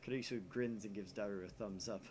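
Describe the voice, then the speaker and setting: A man's voice: fairly low pitch, steady tone, fairly quick, darkish tone. A man reading visual novel narration aloud expressively as he plays, with some background noise. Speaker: video game player reading aloud.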